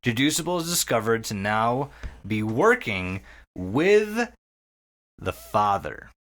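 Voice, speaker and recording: Male podcast host, animated pitch swings, casual sweary storyteller, enthusiastic about the material. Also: takes it slowly. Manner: sweary, enthusiastic, with repeated words. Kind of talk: casual storytelling recap of an internet horror story.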